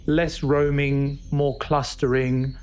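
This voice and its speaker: An actor playing a knowledgeable dinosaur keeper in a found-footage horror drama, explaining things in a clipped, informative way. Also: male voice, mid-range voice, little pitch movement, slow pace, a little mellow, faint music behind, natural voice. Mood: watchful. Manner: informative, clipped, matter-of-fact. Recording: noisy tape with outdoor ambience.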